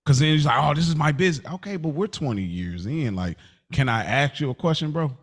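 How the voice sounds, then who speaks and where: An American man co-hosting a podcast, arguing casually with an exasperated, pleading manner, halting and repeating himself. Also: fairly high pitch, animated. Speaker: podcast co-host. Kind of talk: heated casual debate.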